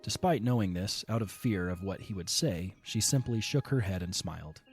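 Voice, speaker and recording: A male narrator with a fairly low voice reads the story in a steady, measured way. He tells the scene calmly between the characters' lines. Faint music sits under his narration. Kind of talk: storybook narration of an audio drama.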